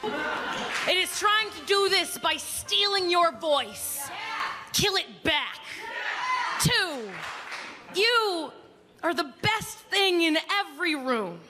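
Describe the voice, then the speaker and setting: A woman performing slam poetry as a spoken word poet, emphatic and declamatory, with dramatic pauses. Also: very high voice, animated, over music. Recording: reverberant hall.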